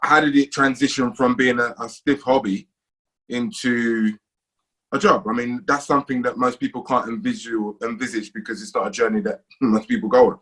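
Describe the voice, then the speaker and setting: A man's voice: medium pitch, steady tone, normal speed, a bit bright, low-quality recording. A man interviewing a guest, asking probing questions in a conversational way.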